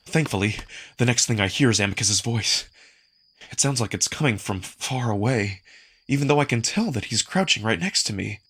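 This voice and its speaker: A man performing dramatic first-person story narration with expressive, acted-out delivery. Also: on the low side, normal speed, slightly rough. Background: music.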